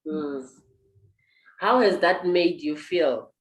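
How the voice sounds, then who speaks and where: A woman hosting an online interview, talking at length and gently encouraging her guest. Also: fairly low pitch, lively intonation, slow pace, echoey room. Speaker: host.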